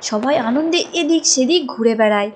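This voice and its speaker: A woman's voice: high voice, normal speed, background noise. A woman reading scripted storybook narration for a cartoon as its narrator. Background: music.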